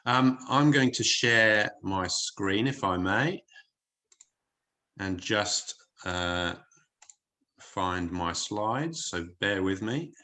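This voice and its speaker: A man from the UK with an English accent softened by years in New Zealand, giving a chatty, filler-heavy wellness talk on a video call. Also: on the low side, expressive, drawn-out words, pauses often. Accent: English with a slight New Zealand tinge. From United Kingdom. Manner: chatty, hesitant, many fillers and restarts. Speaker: wellness presenter. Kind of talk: online corporate presentation.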